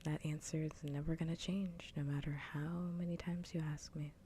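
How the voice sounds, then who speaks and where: A woman whispering softly and breathily close to the mic in a casual, blunt ASMR livestream chat. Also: low voice, flat delivery, normal speed. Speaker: livestream host. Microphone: close ASMR microphone. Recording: faint background noise.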